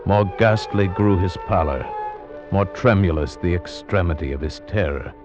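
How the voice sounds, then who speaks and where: An actor playing an earnest, nervous gentleman who narrates in a solemn, measured manner in an old-time radio drama. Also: low-pitched, natural voice. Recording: muffled old radio recording with music.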